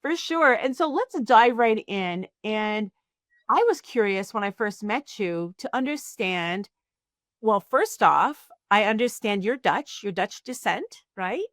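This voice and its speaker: A woman's voice: medium pitch, animated, slow, a bit bright, dry recording, clean studio sound. Woman podcast host introducing and interviewing.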